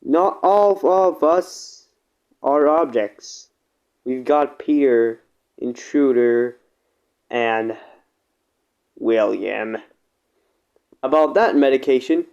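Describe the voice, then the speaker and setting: An amateur voicing a snarky, excitable cartoon character with a sarcastic, dramatic delivery, recorded in low quality on a tablet. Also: drawn-out words, long pauses, highs cut off.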